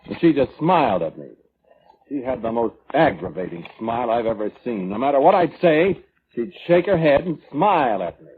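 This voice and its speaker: An actor playing a defensive, weaselly brother testifying at an inquest, halting and evasive, in old radio drama style. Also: male voice, medium pitch, very animated, close to an everyday voice. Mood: self-justifying. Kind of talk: 1940s radio drama acting. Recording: tinny, noisy old radio recording.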